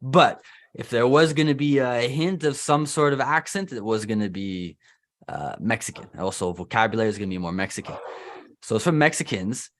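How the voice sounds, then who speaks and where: A man's voice: mid-range voice, lively intonation, moderate pace. A young Canadian man with a Canadian accent hosting a casual interview, talking loosely with lots of restarts and fillers. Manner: chatty, loose, heavy use of "like" and restarts. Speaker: host.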